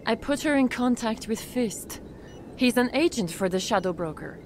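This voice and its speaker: A woman's voice: a little high, animated, normal speed, a little hiss or hum, close to an everyday voice. Woman as an earnest, shaken clinic doctor. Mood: anxious, explaining. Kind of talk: video game cutscene acting.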